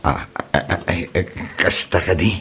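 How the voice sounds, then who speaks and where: A man's voice: low voice, fairly even intonation, moderate pace, fairly bright tone, breathy edge, full character voice. A man playing a hapless young suitor in an Ilocano comedy drama, stammering, nervous and whiny, with an Ilocano accent. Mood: nervous, flustered. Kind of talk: comedy audio drama acting.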